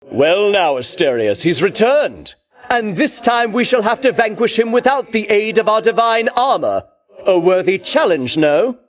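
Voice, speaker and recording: A man's voice: sits high, lively intonation, a little slow. An actor voicing a pompous, boastful dead Greek hero-king for a video game, with ringing, declamatory delivery and grandiose archaic diction. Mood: scornful, grandiose. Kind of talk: theatrical video game voice acting.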